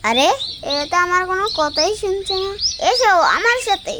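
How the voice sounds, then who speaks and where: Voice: very high voice, lively intonation, normal speed, background noise. A performer voicing an eager, headstrong young boy in a kids' cartoon, childlike and insistent. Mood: excited. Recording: music behind the voice.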